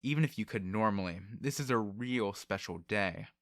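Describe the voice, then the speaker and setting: A man's voice: on the low side, moderate pace, no room sound, studio quality, lightly acted. A man voicing a wry, easygoing male servant who talks in casual slang in an audiobook. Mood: cautioning. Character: laid-back, wry male servant with a sword.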